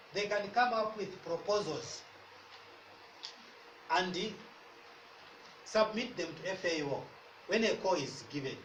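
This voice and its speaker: A man's voice: sits high, fairly bright tone. A man explaining something in a public-speaking style, emphatic and repeating key phrases. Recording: distant, echoey room, faint noise.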